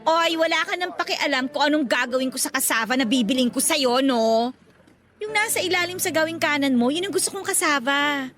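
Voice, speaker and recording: A woman's voice: high-pitched, expressive, brisk, fairly bright tone, faint music behind. A woman playing a brash, teasing young vendor in a Filipino radio drama, with a sassy, gossipy and exclamatory delivery. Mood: sassy, annoyed.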